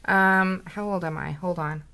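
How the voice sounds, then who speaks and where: A woman's voice: fairly low pitch, steady tone, unhurried, bright, forceful tone. A woman in her forties co-hosting a casual chat about motorcycles, joking and poking fun at herself. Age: 40s. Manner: self-mocking, joking, rambling. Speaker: co-host.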